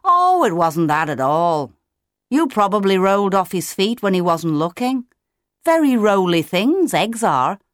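Fatherly male emperor penguin, voiced by a woman for a storybook: matter-of-fact, booming, patient, dry studio sound. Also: very animated, a little slow, no room sound. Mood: reassuring. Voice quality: deep.

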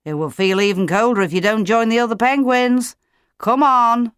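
A woman voicing a big, patient, fatherly male emperor penguin in a storybook, brisk and matter-of-fact. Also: fairly bright tone, clean tone, no echo, clean studio sound, lightly acted. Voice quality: deep.

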